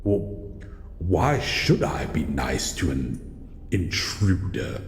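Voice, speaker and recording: A man voicing a grumpy, wary shadow monster in an audio roleplay, speaking in a halting, brooding way as it guards its old house. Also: very deep voice, very animated, full character voice. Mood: resentful, grudging. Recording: echoey hall reverb, faint background noise.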